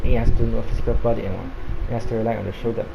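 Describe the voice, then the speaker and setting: Very low-voiced Filipino woman commenting on a game. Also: fairly even intonation, rapid-fire, vocal fry. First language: Tagalog. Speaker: gaming commentator. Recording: dull sound, loud game music behind. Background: game music.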